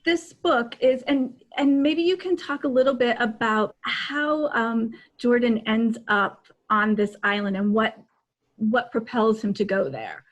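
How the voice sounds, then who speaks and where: A woman's voice: fairly high pitch, measured pace. A middle-aged woman co-hosting a book show, chatting casually and animatedly on a video call. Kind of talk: casual interview chat. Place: indoor room, video call.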